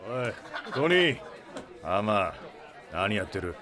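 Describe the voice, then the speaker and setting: A male actor playing a gruff, blustery middle-aged starship captain in a Japanese audio drama, with rough, brusque, slangy speech. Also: on the low side, very animated, takes it slowly, bright, forceful tone, a faint crowd, full character voice. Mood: teasing, scolding. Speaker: ship captain.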